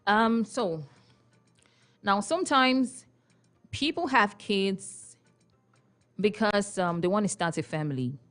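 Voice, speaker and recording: A young Nigerian woman talks into a studio microphone as a morning radio host. She is playful and chatty, laughing often and putting questions to her listeners. Her voice sits at an ordinary middle pitch. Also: slow, pauses often, a bit bright, soft background music. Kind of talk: casual radio talk show chat.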